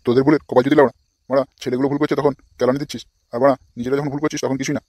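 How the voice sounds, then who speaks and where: A man's voice: mid-range voice, flat delivery, racing, a little mellow, dry recording, clean studio sound, natural voice. A man voicing an exasperated, foul-mouthed older village father in a comic cartoon, scolding crudely. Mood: indignant.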